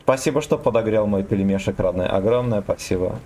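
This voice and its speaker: Joking streamer man, lowish voice. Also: fairly quick, dark tone. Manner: joking, swears freely, reads chat aloud. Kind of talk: casual game stream chatter.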